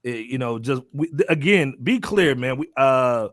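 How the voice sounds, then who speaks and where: A man's voice: medium pitch, lively intonation, moderate pace, dry recording, studio quality. Middle-aged American male podcast host, mid-range voice, bantering and slangy, very little room sound. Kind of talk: casual chat, signing off a live show.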